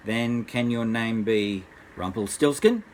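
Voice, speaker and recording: A man's voice: on the low side, takes it slowly, darkish tone, slight background noise. A grandfather voicing an anxious young queen who pleads and questions, in a bedtime storybook tale. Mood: teasing questioning. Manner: beseeching, questioning.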